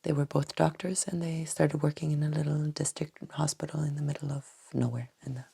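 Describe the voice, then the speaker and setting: A woman from Tanzania with a low, gravelly voice that stays dark and husky. She answers at a measured, hesitant pace, often breaking off and restarting her phrases before they trail off softly. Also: level tone. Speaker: doctor and acupuncturist, podcast guest. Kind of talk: reflective interview answers. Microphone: podcast microphone.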